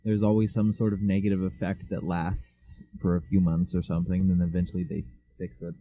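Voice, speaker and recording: A man's voice: low voice, brisk, dry recording. Sarcastic young man, muffled mic. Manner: sarcastic, deadpan joking. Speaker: podcast co-host. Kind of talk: casual chat. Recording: dull lo-fi home mic.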